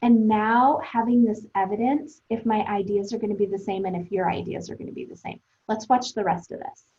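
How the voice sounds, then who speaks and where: A woman teacher thinking aloud for young pupils in a conversational, expressive read-aloud lesson, speaking to them directly. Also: mid-range voice, brisk, highs cut off, over music.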